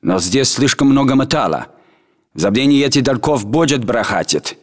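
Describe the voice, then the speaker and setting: An actor playing a grumbling Russian soldier in military video game voice lines. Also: male voice, medium pitch, expressive, moderate pace, lightly acted. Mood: conversational.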